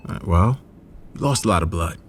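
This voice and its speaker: A man playing a kind, concerned hospital worker in an audio drama, speaking gently and hesitantly with a creaky voice. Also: sits low, lively intonation, moderate pace, slight background noise. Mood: gentle, earnest. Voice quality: gravelly, creaky. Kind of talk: naturalistic audio drama acting.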